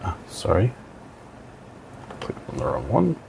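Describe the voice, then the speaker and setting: A man with a fairly low voice that often drops into creak. He talks through a technical demonstration informally, step by step, thinking aloud as he goes. There is faint noise behind him. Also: huge pitch swings, takes it slowly, a little mellow, poor audio. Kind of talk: software tutorial walkthrough, talking while clicking.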